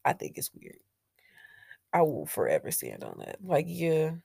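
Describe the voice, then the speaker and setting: A woman's voice: low voice, wildly swooping pitch, a little slow, creaky, mellow, husky, no room sound, studio quality. A young woman hosting a solo podcast, talking in a candid, blunt way with plenty of swearing and laughter. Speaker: podcast host. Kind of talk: casual solo podcast monologue.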